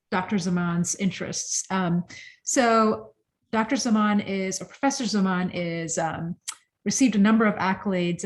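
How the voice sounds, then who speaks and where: A woman acts as host at an academic seminar. She introduces a guest in a mid-pitched voice, pausing often and filling the gaps with ums as she goes.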